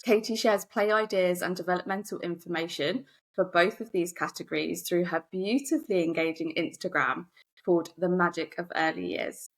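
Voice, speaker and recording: A woman's voice: on the low side, poor audio. A woman hosting a podcast, upbeat and encouraging toward her guest. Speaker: podcast host, secondary teacher.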